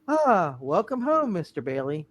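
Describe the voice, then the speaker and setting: A man's voice: sits high, very animated, a little slow, no room sound, muffled recording, exaggerated voice. A man voicing a sweet, devoted young small-town wife in a hammed-up radio play reading. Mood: tender.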